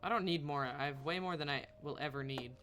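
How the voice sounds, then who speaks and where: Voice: medium pitch, quick pace, a bit bright, faint music behind. A streamer giving informal, off-the-cuff commentary while playing a video game. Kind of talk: casual game livestream commentary.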